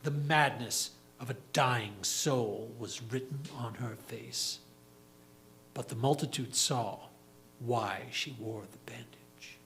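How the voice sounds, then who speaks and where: A solemn dead newspaperman, acted by a man telling a grim vision as theatrical verse. The voice is mid-pitched and very slow, with deliberate phrasing over a faint humming noise. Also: creaky, a bit bright, rough recording. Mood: grave, haunted. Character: dead, defiant male newspaper publisher recounting a grim vision. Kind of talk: theatrical reading of verse monologue.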